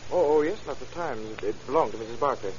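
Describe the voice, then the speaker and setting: An actor playing a fretful, talkative manager of a lodging house in an old-time radio drama. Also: male voice, medium pitch, animated, fast talker, a little hiss or hum, lightly acted. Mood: anxious, explaining. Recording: dull old radio recording, crowd murmur.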